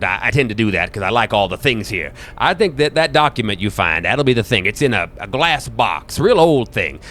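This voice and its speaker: A man's voice: fairly low pitch, bright, forceful tone. Man playing a rambling, dotty old shopkeeper, swooping voice. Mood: musing, folksy. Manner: hesitant, rambling, folksy exclamations. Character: dotty, genial old bookshop keeper, rambling and apologetic.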